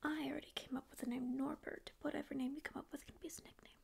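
A woman's voice: sits high, level tone, fast talker, vocal fry. A young woman whispering as a prickly sleep paralysis demon who insists she doesn't care but keeps listening and reassuring, close to the mic in a small dim room. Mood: flustered, tender. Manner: whispering, curt denials that soften into reassurance. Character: prickly sleep paralysis demon, secretly caring, faux-apathetic. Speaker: ASMR roleplayer. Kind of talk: whispered ASMR roleplay. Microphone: close studio mic just off-frame.

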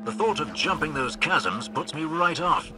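A man's voice: a little high, lively intonation, normal speed, music in the background, close to an everyday voice. A British man playing a polite, unflappable older scout in a video game, speaking in dry understatement. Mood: dry, measured. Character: genteel older male scout and sniper, dryly witty, unflappable.

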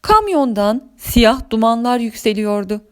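A woman storyteller reading a scripted children's story with expressive narration. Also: a little high, a little slow, smooth.

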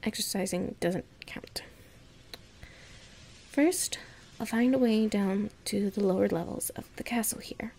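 Woman playing anxious, klutzy young heroine of an audio roleplay: halting self-talk, soft mellow tone, crackly fry. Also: mid-range voice, natural voice. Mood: reasoning, planning. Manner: breathy, halting, murmuring to herself. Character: clumsy, anxious young human woman musing to herself. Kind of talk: audio roleplay monologue, thinking aloud.

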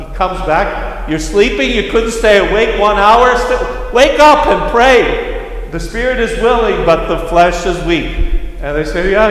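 A middle-aged priest with a Brooklyn accent touched by an Irish lilt, preaching a sermon in a large church and telling the story with acted-out voices and humorous asides. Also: male voice, a little high. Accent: Brooklyn with a slight Irish lilt. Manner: storytelling, acting out voices, self-deprecating asides. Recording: echoey church hall.

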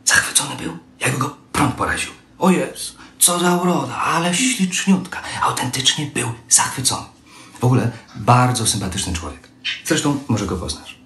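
A young man playing an evasive, talkative husband who works as a train conductor, making smooth excuses, recorded on a phone at home. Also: mid-range voice, lively intonation, moderate pace, bright, forceful tone. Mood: chatty. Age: young adult. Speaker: sleeping-car train conductor. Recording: roomy home room, faint hiss.

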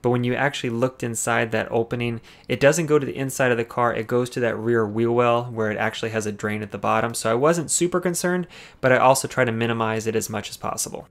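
Fast-talking gravelly man narrating car detailing. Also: medium pitch, fairly even intonation. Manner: explanatory, tip-giving. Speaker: car detailing channel host. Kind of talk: instructional voice-over narration.

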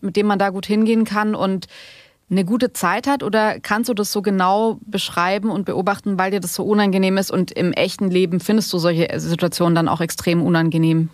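A woman's voice: medium pitch, steady tone, brisk, no room sound, clean studio sound. A woman hosting a podcast, asking long, conversational interview questions.

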